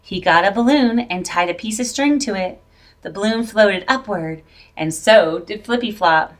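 A middle-aged woman reading a children's picture book aloud in an expressive, dramatic, drawn-out way. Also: medium pitch. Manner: animated, drawn-out, dramatic delivery for young children. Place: plain-walled indoor room. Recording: voice picked up from a distance.

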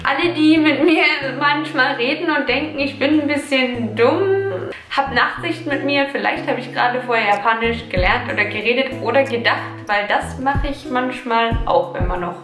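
A woman's voice: high-pitched, normal speed, fairly bright tone. A young woman from Germany, in her twenties, chatting reflectively as a vlogger answering viewer questions from her sofa, sometimes searching for words. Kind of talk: casual Q&A vlog. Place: living room, seated on sofa. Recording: roomy echo with background music.

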